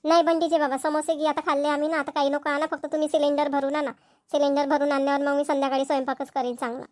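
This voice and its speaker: A woman's voice: high-pitched, little pitch movement, racing, a little mellow, dry recording, studio quality, natural voice. A woman playing a practical, nagging village wife and mother in a cartoon comedy, with a Vidarbha Marathi accent.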